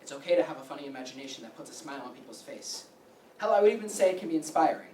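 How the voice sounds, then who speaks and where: Blunt, confrontational clinician played by an actor, rapid delivery, firm lecturing; stage drama in an echoey room. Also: medium pitch, animated, darkish tone, natural voice. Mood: stern, lecturing. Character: blunt, confrontational mental hospital staffer. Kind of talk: staged play reading. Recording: echoey room, distant mic, faint noise.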